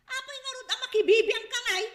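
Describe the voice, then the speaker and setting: A woman's voice: extremely high pitch, fast, pushed and bright, roomy sound. An actress playing a quarrelsome, nagging mother with a hot temper and an Ilocano accent in a radio comedy drama. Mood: angry, defiant. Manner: confrontational, nagging, demanding.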